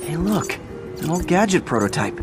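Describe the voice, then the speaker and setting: Earnest young superhero, lightly pitched, hesitant, talks to himself; video game role over clear music. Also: male voice, big pitch swings, moderate pace. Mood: excited, muttering. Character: earnest young superhero man, chatty, awkward, good-natured.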